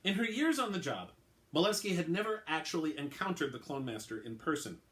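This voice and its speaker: A man, an author, giving a relaxed dramatic reading of his own story, with occasional fillers in the intro. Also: on the high side, brisk.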